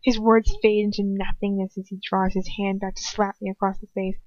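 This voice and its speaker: A woman's voice: mid-range voice, level tone, no echo. Woman reading a story emotively, dull recording. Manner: emotive, halting with slips and restarts. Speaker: amateur fan fiction reader. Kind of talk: dramatic first-person story narration. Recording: slightly dull, lo-fi home recording.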